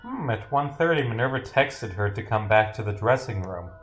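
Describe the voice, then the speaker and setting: A man's voice: on the low side, animated, normal speed. Rambling male game streamer with music behind. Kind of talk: casual livestream playtest commentary, reading dialogue aloud.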